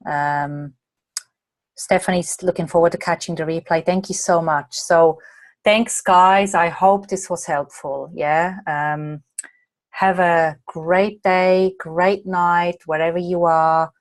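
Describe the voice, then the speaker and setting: Tired, slightly hoarse woman coach. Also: fairly low pitch, steady tone, takes it slowly, no echo. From Australia. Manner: chatty, reads out viewer comments and answers them. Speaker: coach and presenter. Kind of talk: live online masterclass Q&A.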